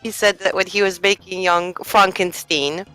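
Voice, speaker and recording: A woman's voice: fairly low pitch, steady tone, moderate pace, sharp tone, clean tone, soft background music, low-quality recording. A woman chatting casually on a speedrun stream, telling stories with lots of fillers and giggles.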